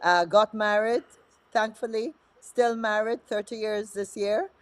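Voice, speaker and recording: A woman's voice: mid-range voice, steady tone, measured pace, dry recording. A woman in her fifties, a politician guest talking in a friendly, conversational way with frequent fillers. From Guyana. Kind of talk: studio interview.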